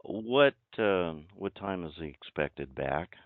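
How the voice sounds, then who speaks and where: A man with a low voice speaks over a dry, narrow telephone connection. His way of talking is formal and deliberate, with an insistent, questioning edge of a caller who wants answers. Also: expressive, no echo. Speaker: caller introducing himself as a judge. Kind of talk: formal phone conversation. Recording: tinny band-limited phone line.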